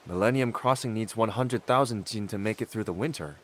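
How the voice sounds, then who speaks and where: A man's voice: on the low side, a little fast, natural voice. A man playing a friendly, inquisitive young wanderer hero in a video game, speaking expressively. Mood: excited, puzzled.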